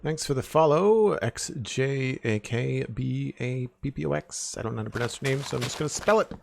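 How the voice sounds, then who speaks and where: A young man with a deep voice chatting casually on a live stream, thinking aloud as he builds, into a desk mic at home. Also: a little fast, creaky, background noise. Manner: rambling, thinking aloud, counts blocks under his breath. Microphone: desk microphone with headphones.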